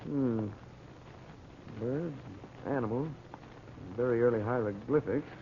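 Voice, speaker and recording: Confident radio-serial adventurer, tinny recording. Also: male voice, mid-range voice, takes it slowly, a little hiss or hum. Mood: absorbed, musing. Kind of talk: old-time radio serial acting.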